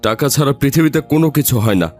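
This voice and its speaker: A man's voice: medium pitch, animated, quick pace, darkish tone, faint music behind, close to an everyday voice. A man voicing a poor, hot-tempered husband in a cartoon, grumbling and plaintive, fretting and pleading. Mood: angry, grumbling. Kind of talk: cartoon voice-over dialogue.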